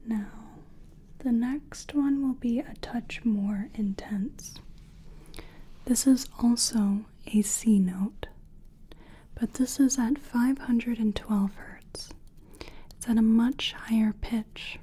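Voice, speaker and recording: Casting: female ASMR whisperer, very breathy and soft-toned, playing a gentle, reassuring healer with deliberate delivery. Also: on the high side. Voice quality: breathy, mostly unvoiced whisper. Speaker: ASMR performer playing a soul-cleansing practitioner. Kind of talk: whispered ASMR personal attention roleplay.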